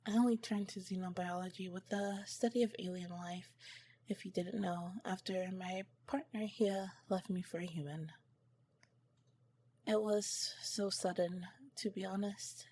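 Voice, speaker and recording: An earnest female alien scientist, played by a woman, speaks softly and slowly in an ASMR roleplay. Her breathy voice carries formal, clinical phrasing, broken by shy, flustered hesitation. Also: medium pitch, fairly even intonation. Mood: reserved, wistful. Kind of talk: ASMR roleplay, soft-spoken to the listener.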